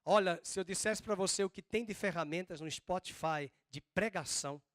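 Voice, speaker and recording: A man preaching a sermon as pastor, with a drawn-out Northeastern Brazilian accent and slow, emphatic stresses. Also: high voice, fairly bright tone, no echo, studio quality. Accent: Northeastern Brazilian Portuguese.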